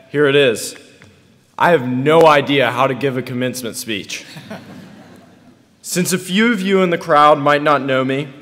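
A young American man in his late teens delivering a prepared commencement speech in a deliberate, deadpan way. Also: a little high. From North Carolina, United States. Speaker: student graduation speaker. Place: podium on a stage in a large hall. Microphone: podium microphone. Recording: echoey large hall, long reverb.

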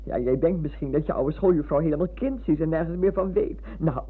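Old retired schoolmistress, husky and excitable, effusive radio-play delivery, muffled lo-fi recording. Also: female voice, deep voice, brisk. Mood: delighted, reminiscing. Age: older. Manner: effusive, chatty, exclaiming. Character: elderly former schoolmistress, warm, chatty, excitable wife. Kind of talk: Dutch radio play acting.

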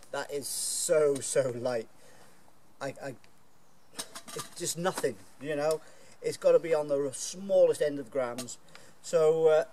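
A man's voice: on the high side, darkish tone, slight background noise. A middle-aged man chatting enthusiastically to camera while reviewing camping gear outdoors in a woodland. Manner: chatty, enthusiastic, folksy with fillers. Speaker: bushcraft reviewer.

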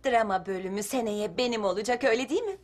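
A woman's voice: a little high, fast talker, natural voice. A woman voicing a poised, ambitious teenage schoolgirl in an amateur film dub, self-assured. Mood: smug, scornful. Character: poised, ambitious teenage schoolgirl assistant turned scheming rival. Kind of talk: amateur fan dub acting.

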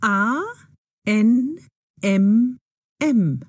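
A woman playing a curious, thoughtful young female amateur sleuth in an audio drama for language learners, speaking expressively and clearly. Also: mid-range voice, expressive, very slow. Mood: doubtful.

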